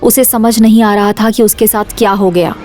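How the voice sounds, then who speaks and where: Woman narrating scripted suspense story, mid pitch, pretty even delivery, light music under it. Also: moderate pace.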